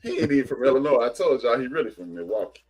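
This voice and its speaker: Male podcast co-host from the Chicago area, casual and animated, lively intonation. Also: mid-range voice, very fast, husky. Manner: animated, loud bursts when excited, trails off searching for words. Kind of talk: casual chat.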